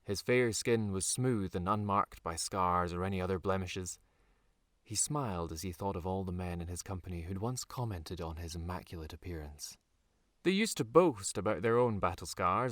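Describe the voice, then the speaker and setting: Male audiobook narrator, lowish voice, measured dramatic phrasing, deliberate pauses, dry recording. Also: expressive, no echo. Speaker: author reading his own novel.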